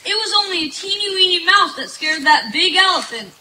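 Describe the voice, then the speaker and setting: An actress playing a small, excitable cartoon character in an old-time radio comedy. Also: female voice, very high voice, fairly quick, heavily acted. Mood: excited. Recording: echoey, noisy live outdoor stage.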